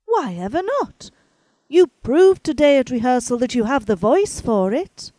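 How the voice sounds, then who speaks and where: A woman playing a composed, kindly, authoritative older madame in an audio drama, with formal, measured phrasing. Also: high-pitched, big pitch swings, warm tone, no room sound. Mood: surprised concern.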